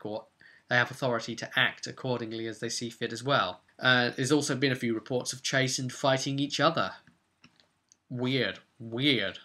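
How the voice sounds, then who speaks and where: Guy game master chatting through his tabletop campaign, bright punchy voice, mid pitch. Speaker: host and game master of a tabletop role-play vidcast. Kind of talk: informal campaign recap to camera.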